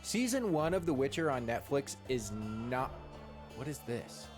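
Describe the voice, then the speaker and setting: A male game streamer talks casually while he plays. He is earnest and rambles a little, with a mid-range voice. Loud game music runs behind him. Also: mid-range voice, sing-song, measured pace. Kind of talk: casual live stream chat while playing. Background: loud game music and dialogue.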